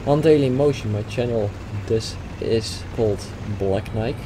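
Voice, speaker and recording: A man's voice: medium pitch, measured pace. Dutch-accented man commentating a game. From Netherlands. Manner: hesitant, with restarts and fillers. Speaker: gaming YouTuber. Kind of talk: gameplay commentary over a replay. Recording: game noise behind the voice.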